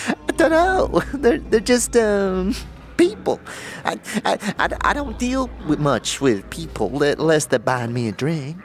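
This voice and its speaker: A woman's voice: fairly low pitch, animated, takes it slowly. A woman playing a nervous, tipsy barfly who stammers and evades, in a fantasy audio drama. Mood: hesitant, evasive. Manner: stammering, hesitant, nervous giggling. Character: nervous, tipsy female barfly posing as a dustman, skittish and evasive.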